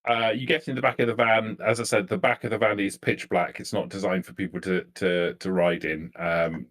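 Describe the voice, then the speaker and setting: Male game master, low register, improvised narration for live tabletop vampire role-play. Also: level tone, brisk, fairly bright tone.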